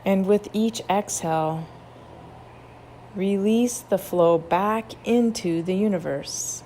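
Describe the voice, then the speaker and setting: A woman narrating a guided meditation in a gentle, measured, instructional manner. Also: medium pitch, slight background noise.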